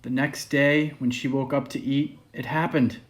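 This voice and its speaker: A man with a medium-pitched voice reading a children's picture book aloud. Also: fairly even intonation, moderate pace. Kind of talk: read-aloud children's story.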